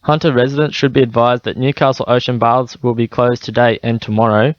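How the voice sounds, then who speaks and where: A man's voice: medium pitch, flat delivery, a little fast, no room sound, muffled recording. A man with an Australian accent reading scripted radio news bulletins. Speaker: radio newsreader.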